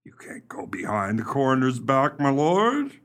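A man's voice: mid-range voice, huge pitch swings, normal speed, fairly bright tone. A man playing a dutiful, slightly flustered chief constable, hesitant and deferential, in a radio play.

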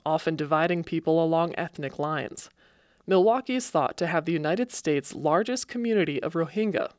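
A woman hosting a public radio program, reading scripted narration in a measured way. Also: deep voice, no room sound, clean studio sound. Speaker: radio host. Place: radio studio.

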